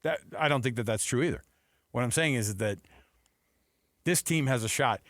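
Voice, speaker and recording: A man's voice: medium pitch, lively intonation, normal speed, a bit bright, no room sound. American man talking sports, dry studio sound. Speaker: sports radio co-host. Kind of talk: unscripted sports talk and analysis.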